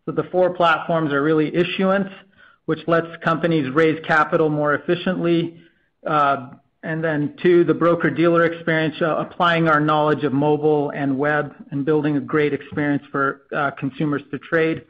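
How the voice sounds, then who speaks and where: A man's voice: fairly high pitch, flat delivery, normal speed, lo-fi sound. A man presenting business plans as a technology executive on an earnings call, with frequent uhs between phrases.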